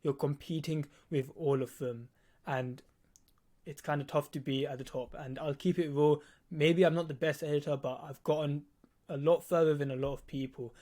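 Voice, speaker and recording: Young man, late teens, slightly high voice, lisp, informal explainer, blunt and emphatic. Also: steady tone, normal speed, darkish tone. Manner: blunt, casual, swearing, emphatic repetition. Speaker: video editor teaching on own channel.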